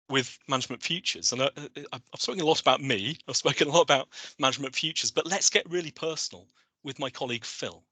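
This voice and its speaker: A man's voice: mid-range voice, dry recording. A man hosting an online coaching webinar, talking with enthusiasm and often restarting his phrases. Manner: enthusiastic, animated, with frequent restarts. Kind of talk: presenting a webinar with slides. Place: online video call.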